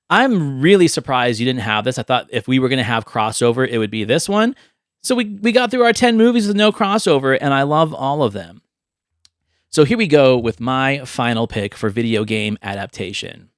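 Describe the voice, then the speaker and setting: A man's voice: mid-range voice, big pitch swings, normal speed, no room sound, studio quality. A man hosting a podcast, chatting casually and enthusiastically about movie ideas. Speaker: podcast host. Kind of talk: casual movie pitch and discussion.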